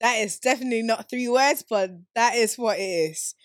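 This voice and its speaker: A woman in her twenties who grew up in Sweden, hosting her own podcast in a playful, slangy, animated way and laughing easily. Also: on the high side, normal speed, dry recording, clean studio sound. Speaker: podcast host. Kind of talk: casual solo podcast chat.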